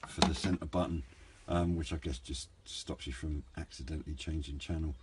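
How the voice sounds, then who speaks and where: Low-voiced man in a casual review. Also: fairly even intonation, brisk. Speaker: hobbyist radio reviewer. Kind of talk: casual, unscripted product review. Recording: clear background noise, room ambience.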